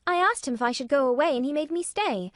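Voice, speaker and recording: A blunt, self-assured young girl, as a woman reads her, her high voice clipped and matter-of-fact. The pitch swings about freely. The recording is close and dry. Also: lively intonation, quick pace, no echo.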